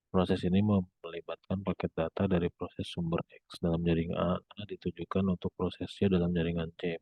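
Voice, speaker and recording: Young guy reading his class presentation, super flat delivery, muffled phone-like audio, trips on words sometimes. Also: sits low, slightly rough, dry recording. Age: young adult. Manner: monotone read-aloud with occasional stumbles. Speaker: student presenter. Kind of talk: scripted reading, academic presentation. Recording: muffled phone-like narrow band sound.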